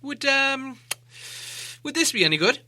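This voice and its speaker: A man's voice: very high-pitched, sing-song, a little slow, bright, forceful tone, voice pushed up, full character voice. A man voicing a timid, polite little hobbit in a storybook, stammering and unsure of himself. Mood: hesitant. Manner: hesitant, stammering. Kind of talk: acted audiobook dialogue.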